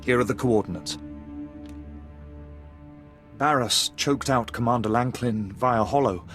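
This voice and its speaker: A man plays a nervous military officer in a space-opera game. He is medium-pitched and deferential, with a halting, stammering delivery that sounds eager to save himself. Faint music sits behind him. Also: fairly bright tone, close to an everyday voice. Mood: nervous, relieved. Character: nervous, cowardly Imperial officer eager to save his skin. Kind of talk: video game voice acting.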